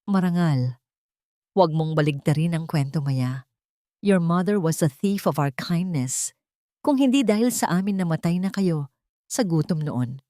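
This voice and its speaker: A woman's voice: fairly low pitch, lively intonation, measured pace, long pauses, mellow, no room sound, clean studio sound. Woman acting a haughty, sneering rich socialite. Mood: scornful. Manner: mocking, condescending, Taglish code-switching.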